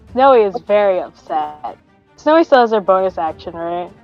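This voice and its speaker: A woman's voice: mid-range voice, big pitch swings, a little slow, a little mellow, no room sound, muffled recording, faint music behind. A woman chatting casually as a player in a tabletop roleplaying game.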